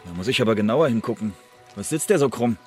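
An actor playing a cocky, defiant secret agent with a theatrical, insistent way of talking in a German radio play. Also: male voice, on the low side, lively intonation, fast, soft background music, close to an everyday voice. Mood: jovial, teasing.

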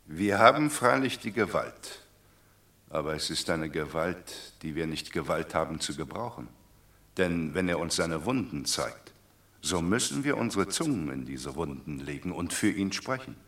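An actor playing a droll, sententious Roman commoner in a classic radio play, reasoning with pedantic earnestness. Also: male voice, low voice, expressive, normal speed, a bit bright.

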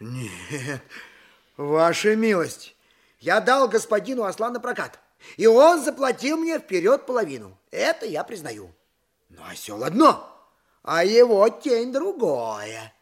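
Indignant donkey driver, very high pitch, animated intonation, mock-deferential, stubborn, theatrical audio drama. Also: male voice, a little slow, exaggerated voice. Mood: plaintive, insistent. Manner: haggling, protesting, mock-deferential.

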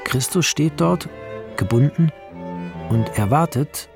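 A man's voice: a little high, expressive, takes it slowly, creaky, heavily acted. A man reading film scene directions as the narrator of a radio play, in clipped, descriptive phrases. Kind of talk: scripted radio drama narration. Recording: music under the voice. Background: music.

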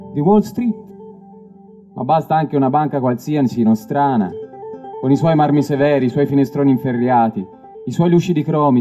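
A man giving a dramatic literary reading, declamatory and deliberate, with weighty pauses. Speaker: stage reader of literary texts. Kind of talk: dramatic literary reading over live music. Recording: dull lo-fi recording, plucked bass music behind. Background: live stick bass music.

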